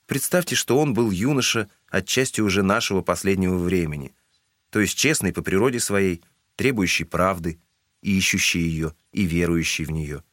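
A man's voice: low voice, normal speed, a bit bright, no room sound, studio quality. A man giving scripted literary narration as the narrator of an audio drama.

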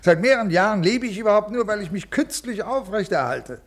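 An ailing, rule-bound male official in a 1950s radio drama. His voice sits a little high, and he speaks in formal, brusque, officious phrases, every bit the dutiful bureaucrat. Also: lively intonation, a little fast, a bit bright, full character voice. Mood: lecturing, dismissive. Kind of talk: 1950s German radio play acting.

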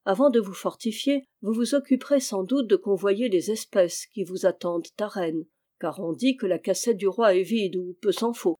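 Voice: medium pitch, moderate pace, warm tone, lightly acted. A reader voicing a reserved, courteous male nobleman with restrained delivery, in an audiobook reading.

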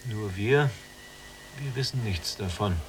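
An actor playing an earnest, idealistic younger prisoner in a literary radio play, searching and pleading in manner. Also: male voice, lowish voice, takes it slowly, faint music behind, rough recording. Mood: protective. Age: young adult.

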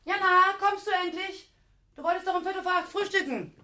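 A woman's voice: extremely high pitch, a little fast, sharp tone, slight background noise. An actress playing a flustered, fussing middle-aged mother in a naturalistic German radio play. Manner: fussing, harried, distracted.

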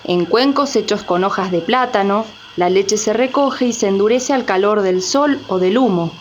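A woman's voice: medium pitch, normal speed, highs cut off, a little hiss or hum, close to an everyday voice. A woman playing an earnest storyteller, reading scripted narration in a radio drama.

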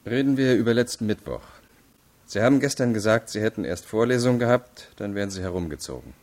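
Casting: male actor for a formal state attorney questioning suspects, lowish voice, measured, insistent, radio crime drama. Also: animated, darkish tone, close to an everyday voice. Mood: probing. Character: stern, probing adult male investigating state attorney. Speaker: interrogator.

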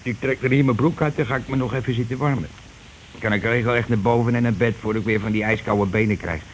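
An actor playing a coarse, cocksure scheming husband in a radio play, talking insistently and impatiently in colloquial speech. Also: male voice, medium pitch, steady tone, fast talker, lightly acted. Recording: noisy old radio drama recording.

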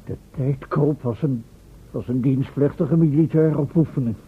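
An actor playing an anxious, exacting professor and inventor in a radio play, speaking formally, impatiently and dramatically. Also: male voice, medium pitch, a little slow, slightly rough. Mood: tense, impatient. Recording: old, muffled thin radio recording with noise.

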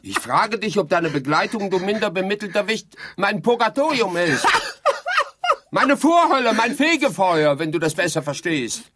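An actor playing a haughty, exasperated dead sleep researcher in a theatrical radio play, declaiming and lecturing indignantly. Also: male voice, high-pitched, sing-song, moderate pace, a bit bright, slight background noise. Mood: scornful.

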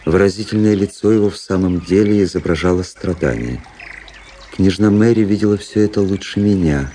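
A man acting a cool, self-analysing young gentleman officer who narrates a radio play in a measured, detached manner. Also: low voice, slight background noise, close to an everyday voice. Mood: detached, reflective. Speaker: narrator and lead character.

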